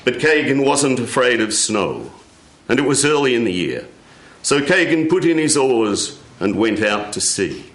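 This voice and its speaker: A man telling a folk tale live in a measured way, with dramatic pauses. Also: medium pitch, a bit bright, reverberant. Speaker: storyteller. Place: radio studio with live audience.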